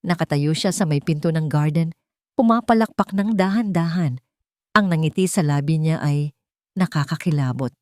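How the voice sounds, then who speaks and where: A woman's voice: low-pitched, moderate pace, darkish tone, no room sound, studio quality. A woman reading scripted first-person narration of a dramatic love story.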